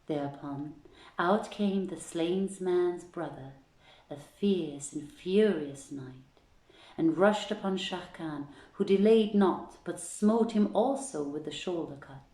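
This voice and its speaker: A woman with a low, mellow voice reads aloud like a formal storyteller. She takes her time, with measured, theatrical phrasing, and the room adds a clear echo around her. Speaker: host and reader of a literary reading series. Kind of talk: greeting, then dramatic storybook reading. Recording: echoey room sound.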